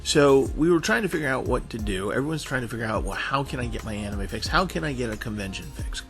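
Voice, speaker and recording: A man's voice: medium pitch, animated, quick pace, gravelly, music in the background. A man giving a casual, rambling announcement as a show co-host, informal and often stumbling over repeated words. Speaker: online convention panelist and show co-host.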